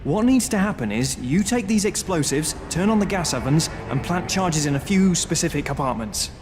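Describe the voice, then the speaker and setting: An actor playing a nervous, self-deprecating young volunteer in a video game, informal and easily flustered. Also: male voice, on the high side, animated, normal speed, over music, lightly acted. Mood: hesitant, earnest. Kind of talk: video game cutscene acting.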